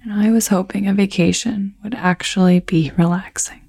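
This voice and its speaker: A woman's voice: medium pitch, fairly even intonation, moderate pace, vocal fry, dark tone, husky, dry recording, studio quality. A woman playing a troubled, restless young woman in a found-footage audio drama, confiding hesitantly, often breathily. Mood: haunted, anxious. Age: young adult.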